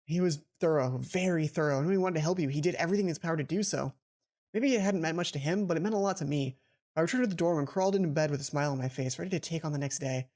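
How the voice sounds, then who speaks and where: A man's voice: a little high, rapid-fire, a little mellow. A young man hosting a let's play, reading a visual novel aloud and adding casual commentary. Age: young adult. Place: small indoor home room. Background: game music.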